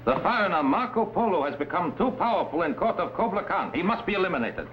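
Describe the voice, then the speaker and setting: A man's voice: sits high, big pitch swings, fast talker. A man playing an ambitious, treacherous Chinese warlord, speaking grandiose, stilted English in an old adventure serial. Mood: scheming. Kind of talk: 1950s TV adventure serial acting. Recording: dull old broadcast recording with hiss.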